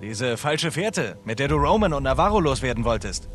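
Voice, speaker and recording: An actor playing a scrappy, quick-witted young treasure hunter in a dubbed video game, casual, wry and expressive. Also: male voice, mid-range voice, natural voice. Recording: music behind the voice.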